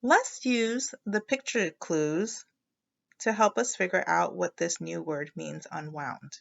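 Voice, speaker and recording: Woman, children's teacher, read-aloud lesson, explanatory, questioning, unhurried, creaky edges, slightly dull lo-fi recording. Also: lowish voice, a bit bright. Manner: explanatory, thinking aloud, prompting listeners.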